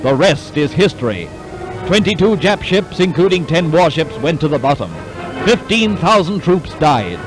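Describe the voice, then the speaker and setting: A man's voice: a little high, very animated, normal speed, poor audio. A man reading scripted wartime newsreel narration in a clipped, dramatic, declamatory way. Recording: 1940s newsreel soundtrack, music behind. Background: orchestral newsreel music.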